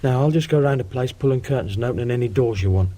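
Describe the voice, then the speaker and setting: A man's voice: medium pitch, racing, mellow, lightly acted. A man acting in a radio drama as a cautious, matter-of-fact burglar for hire, giving terse, bossy instructions in colloquial speech. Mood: businesslike, calm.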